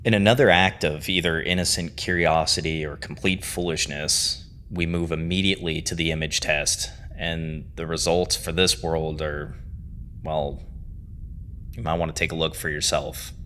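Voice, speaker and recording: A man delivers dramatic scripted storytelling narration, phrasing deliberately with weighty pauses. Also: extremely low pitch, fairly even intonation, pushed and bright, slight background noise. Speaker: narrator and host of a horror series review.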